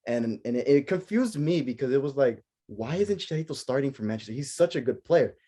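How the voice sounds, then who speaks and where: Young man, mellow voice, native spanish speaker, casual podcast host, animated storyteller full of fillers. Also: medium pitch, fairly quick. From United States. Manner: animated storytelling with many fillers and acted-out reactions. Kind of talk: casual chat.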